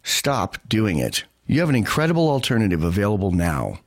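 A man's voice: fairly low pitch, huge pitch swings, a little fast, no room sound, studio quality. A man reading a radio advertisement in an urgent, insistent way. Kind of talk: radio ad read.

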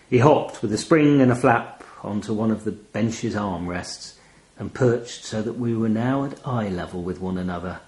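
A man reading a first-person short story aloud in a wry, expressive storytelling manner. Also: on the low side, roomy sound, poor audio. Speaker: short story reader, narrator. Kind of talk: scripted first-person fiction narration.

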